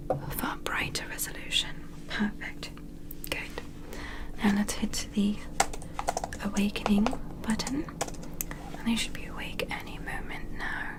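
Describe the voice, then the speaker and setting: A woman playing a gentle, attentive alien medical examiner in an ASMR roleplay, whispering slowly and soothingly in a breathy, reassuring way. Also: a little high. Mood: calm, welcoming. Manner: slow, soothing, reassuring, procedural.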